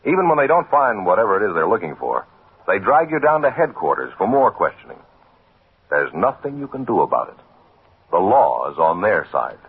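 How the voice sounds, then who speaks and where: A man's voice: fairly low pitch, huge pitch swings, fairly quick, husky, phone-quality sound. An American man as a radio announcer, delivering solemn, dramatic 1950s scripted narration with emphasis. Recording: thin, band-limited old radio recording.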